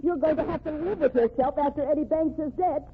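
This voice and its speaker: Tinny, high-pitched, desperate young woman played by an actress. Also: brisk, a little hiss or hum, close to an everyday voice. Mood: desperate, pleading. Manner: urgent, pleading, melodramatic. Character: desperate, impassioned young woman fighting for her condemned sweetheart. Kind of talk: old-time radio crime drama acting. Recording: tinny band-limited old radio recording.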